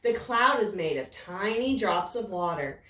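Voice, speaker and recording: A woman reading a picture book aloud to children in a slow, expressive, sing-song storytelling voice. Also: medium pitch, recorded from a distance. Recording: roomy echo, voice set back from the mic.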